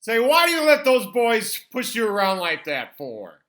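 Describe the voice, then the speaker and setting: Woman voicing an animated, proud young busboy. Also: on the high side, moderate pace. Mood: indignant, determined. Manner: emphatic, exhorting. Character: earnest, ambitious eighteen-year-old male busboy, proud. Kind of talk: comedic impression at a table read.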